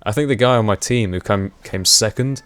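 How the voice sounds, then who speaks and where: A young man with a fairly low voice talks over his gameplay in a loose, rambling way. He pauses on fillers and laughs often, and his voice is wearing hoarse. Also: no echo. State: voice going hoarse. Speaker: gaming commentator. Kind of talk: casual gameplay commentary.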